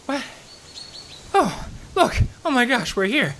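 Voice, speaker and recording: A man's voice: very high voice, very animated, slow, fairly bright tone, a little hiss or hum, exaggerated voice. A man playing a reluctant, cautious park ranger in an audio drama, speaking in a grumbling, wry, hesitant way. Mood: winded, complaining.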